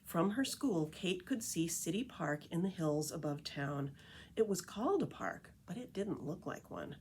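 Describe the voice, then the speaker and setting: Female library storyteller, slightly low voice, animated and emphatic read-aloud delivery for young listeners. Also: unhurried, slight background noise. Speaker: librarian reading a picture book aloud.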